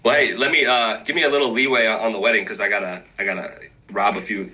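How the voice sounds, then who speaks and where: A man guesting on a podcast, trading jokes in a dry, deadpan way. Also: fairly low pitch, quick pace, poor audio. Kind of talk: deadpan joking chat.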